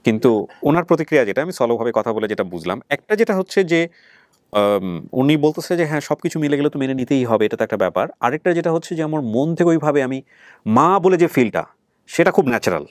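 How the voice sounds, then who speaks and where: A Bangladeshi man hosts an interview in a quick, explanatory way. His voice is mid-pitched and recorded dry and close. He probes by rewording his questions. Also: expressive, dry recording. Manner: explanatory, probing with repeated rephrased questions. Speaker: show host. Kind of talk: conversational interviewing on a family reunion show.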